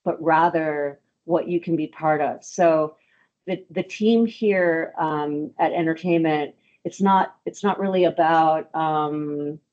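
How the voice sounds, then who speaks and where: A woman with a low voice hosts a webinar over a video call. She stops and restarts often, with plenty of ums. The call audio sounds dull and lo-fi. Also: steady tone, slow pace, a little mellow. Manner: hesitant, many ums and restarts. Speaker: webinar host. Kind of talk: webinar presentation.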